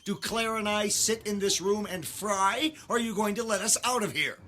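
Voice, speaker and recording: A man's voice: sits high, normal speed, bright, forceful tone. An actor playing a skeptical, defiant man held captive, speaking with sarcastic indignation. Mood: exasperated. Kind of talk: dramatic audio acting.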